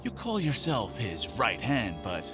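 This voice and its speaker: Male actor as crooked schemer on the take, swooping highish voice, cocky, needling, audio drama, music behind. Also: huge pitch swings, unhurried. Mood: smug, needling. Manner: taunting, mock-wounded, cocky.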